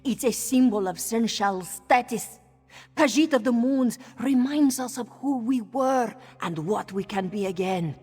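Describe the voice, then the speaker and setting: A woman's voice: fairly high pitch, unhurried, a little mellow, husky. AI woman voice doing a proud cat-woman local who speaks in third person, with a reverb tail and game music behind. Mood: earnest. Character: proud elderly female cat-folk townswoman.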